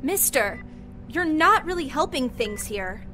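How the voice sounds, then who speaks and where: Very high-pitched frightened young hostage. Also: female voice, big pitch swings, a little slow. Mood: frightened, pleading. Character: frightened, pleading young female sailor held hostage. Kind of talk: audio drama acting. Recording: music bed under the voice. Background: music.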